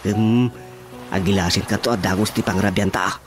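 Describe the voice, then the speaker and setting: An actor with an Ilocano accent playing a boastful, excitable husband and councilman in a radio comedy drama. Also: sits low, a little fast, lightly acted. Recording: music behind the dialogue.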